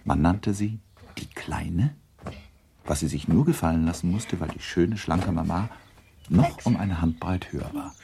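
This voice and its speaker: A man narrating a literary radio drama in a measured, deliberate storytelling manner, with a gravelly, husky voice. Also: deep voice, lively intonation, background noise, full character voice. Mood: measured, descriptive. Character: measured narrator of a classic novel.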